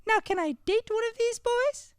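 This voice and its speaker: A man voicing an eager, affectionate young Irish daughter in a breathy falsetto for improvised radio comedy. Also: normal speed, no room sound, studio quality.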